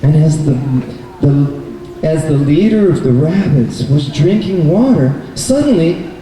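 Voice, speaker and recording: A man telling a children's story at a live puppet show, in simple, deliberate phrases with repeated words. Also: fairly high pitch, lively intonation, mellow. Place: public event space indoors, far from mic. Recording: very echoey noisy hall, distant mic.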